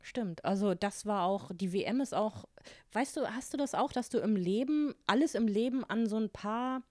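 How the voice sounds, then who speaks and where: German woman in her 40s, storytelling interview guest, mid pitch, dry close mic. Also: normal speed, dry recording. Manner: lively anecdotes, acts out her own quoted thoughts.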